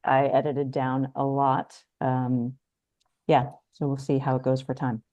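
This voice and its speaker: Woman screenwriter, soft dark tone, dry close sound, casual, hesitant phrasing. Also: a bass voice, flat delivery, unhurried, no room sound. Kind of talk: casual introductory talk. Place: video call.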